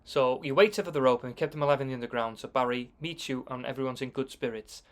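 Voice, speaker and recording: A man with a mid-pitched voice narrates as a game commentator. He explains things casually, in a conversational voice-over. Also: fast.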